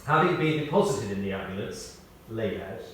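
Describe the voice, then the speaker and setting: A British man reads a scripted memorial tribute as a eulogist, telling anecdotes in a measured way with pauses and emphasis. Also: lowish voice, big pitch swings. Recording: very echoey, distant mic, faint noise.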